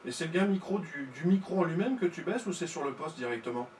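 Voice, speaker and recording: A man's voice: a little high, lively intonation, fast, a little mellow. A man speaking as an amateur radio operator, chatting casually and explaining technical details in a hesitant way. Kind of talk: casual ham radio conversation. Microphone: studio-style mic through tube preamp. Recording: roomy sound with faint noise.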